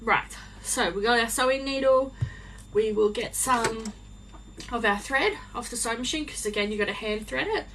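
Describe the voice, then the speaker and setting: A young woman with a slightly croaky voice, chatting in a rambling way, full of ums, while she sews on a live stream from her home craft space. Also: fairly high pitch, normal speed, a bit bright, slight background noise, poor audio. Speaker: small business owner live-streaming crafts. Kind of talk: casual chat while sewing. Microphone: phone on a live stream.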